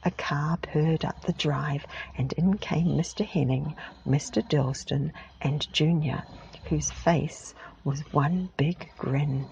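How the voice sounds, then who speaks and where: Low soft-spoken woman whispering a children's story, gravelly fry, close mic. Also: slow pace, lo-fi sound, slight background noise. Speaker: ASMR reader. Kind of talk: whispered ASMR storybook reading.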